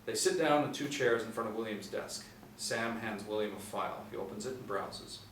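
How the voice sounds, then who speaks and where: A man's voice: lowish voice, moderate pace. Male narrator, 40s, reading script directions; roomy, distant mic with faint noise. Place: small indoor room. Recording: echoey room, distant mic, background noise.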